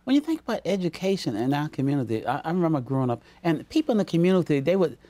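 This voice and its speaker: A man's voice: a little high, sing-song, rapid-fire, warm tone. A man from Maryland, co-hosting a TV talk panel, telling stories and often affirming the others. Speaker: co-host.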